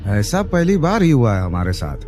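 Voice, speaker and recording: Man playing a fed-up cartoon bus conductor, lively swinging pitch, mocking tone. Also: normal speed, soft background music, close to an everyday voice. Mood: exasperated. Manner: exasperated, mocking. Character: irritated, dismissive male bus conductor. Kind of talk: cartoon voice acting.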